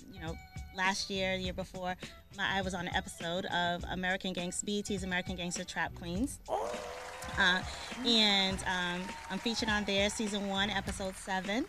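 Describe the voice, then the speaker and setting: A woman's voice: mid-range voice, level tone, normal speed, music in the background. A woman from Boston, a guest on the show, talking casually with lots of ums and restarts. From Boston, Massachusetts. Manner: chatty, lots of ums and restarts, laughs easily. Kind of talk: casual interview talk.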